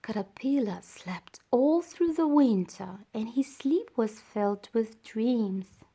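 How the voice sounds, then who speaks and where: A woman reading a children's story aloud as storyteller, with deliberate, dramatised phrasing. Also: on the high side, animated, dark tone, breathy edge.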